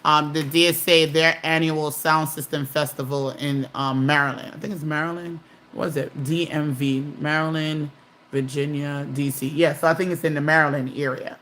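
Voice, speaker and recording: A woman's voice: deep voice, steady tone, moderate pace, a bit bright, slight background noise. A woman casually hosting a live stream, chatting and reading viewer comments aloud.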